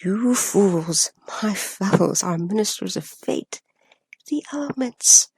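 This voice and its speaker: An airy spirit servant from a Shakespeare radio play, acted by a woman. The voice is breathy and often sinks into whispering. The lines come slowly and measured, in a dry recording. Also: mid-range voice, lively intonation, darkish tone, no room sound, heavily acted, poor audio. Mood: menacing, solemn. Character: Ariel, airy spirit servant, menacing minister of fate, eager to please.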